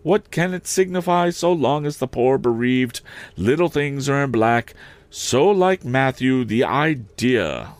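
Shrill, affected older gentlewoman voiced by a male narrator; lively, complaining lilt. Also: no echo, natural voice. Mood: self-pitying. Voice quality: high, shrill, drawn-out. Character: fussy self-pitying older lady, shrill and prim. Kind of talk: audiobook character reading.

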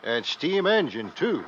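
An actor playing a gruff, cautious northern seaman who speaks simple broken English in a radio drama. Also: on the high side, fairly bright tone, full character voice. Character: gruff, cautious male northern outpost seaman and ice guide. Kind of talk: old-time radio drama acting. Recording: muffled, hissy vintage radio recording.

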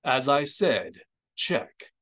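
A man's voice: mid-range voice, big pitch swings, drawn-out words, fairly bright tone, exaggerated voice. Male reader as military colonel, stern, stiff, guarded, commanding, suspicious, audiobook character.

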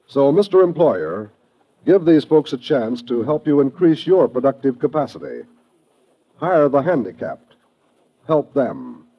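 An American man as a 1950s radio announcer, reading a scripted announcement with earnest, deliberate emphasis. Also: mid-range voice, very animated, slightly rough. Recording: muffled old radio recording.

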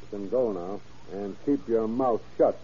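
An actor playing a tough, wisecracking police captain in 1930s radio crime drama, brusque, slangy and commanding. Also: male voice, on the low side, a little slow, dark tone, husky. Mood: stern, pressing. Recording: muffled, lo-fi old radio recording.

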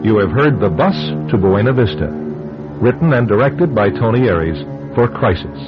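A man's voice: fairly low pitch, expressive, normal speed. A man reading scripted credits and sign-off as a radio drama producer and announcer. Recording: muffled old radio broadcast. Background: loud music.

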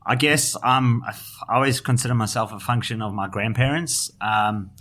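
A journalist with a South African accent, a guest on a podcast, talking in a thoughtful way with many restarts and fillers. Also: male voice, medium pitch, moderate pace, fairly bright tone. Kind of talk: podcast interview.

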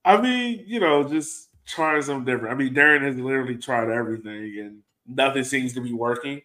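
A man's voice: medium pitch, a little fast, sharp tone. A man co-hosting a sports fan podcast, talking casually and informally with a resigned manner. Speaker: co-host of a soccer fan podcast.

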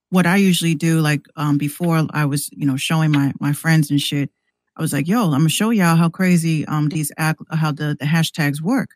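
A woman guesting on a podcast, chatting and telling stories casually in a slangy, halting way with repeated words. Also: low voice, a little mellow, no room sound. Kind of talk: casual chat, storytelling.

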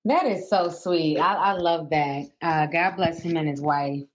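A woman's voice: deep voice, unhurried, muffled recording. A woman hosting a call-in show, chatting casually and giving listeners advice.

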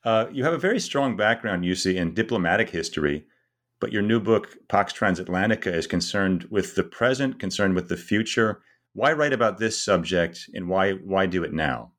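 A man's voice: sits low, expressive, normal speed, fairly bright tone. A man hosting a book interview podcast, reading a formal introduction and asking questions. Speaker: podcast host.

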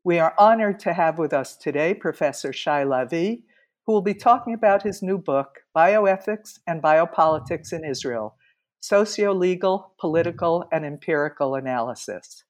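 A woman hosting an interview show, with a somewhat low voice. She reads her introduction in a formal, measured manner. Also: animated, a little mellow. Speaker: podcast host. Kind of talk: scripted introduction and interview questions.

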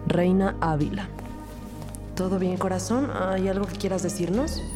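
A woman's voice: lowish voice, normal speed, over music, lightly acted. An actress playing a composed police detective who narrates her case in a scripted crime audio drama. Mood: composed, serious.

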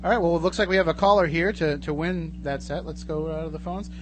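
A man's voice: a little high, steady tone, fast talker. A man hosting a live radio show in a casual, conversational way. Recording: clear background hum and noise.

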